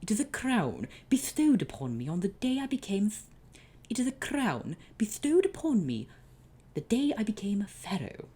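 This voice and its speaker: A woman's voice: medium pitch, sing-song, normal speed, dark tone, slightly rough, pitched above the performer's own voice. A woman voicing a formal, stately young pharaoh who speaks in a raised voice. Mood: indignant. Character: haughty young man, an Egyptian pharaoh, worried.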